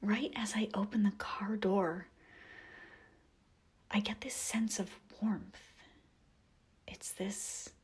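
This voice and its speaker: Soft whispery lady doing a guided meditation story, super breathy, slow n soothing, lots of pauses. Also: mid-range voice.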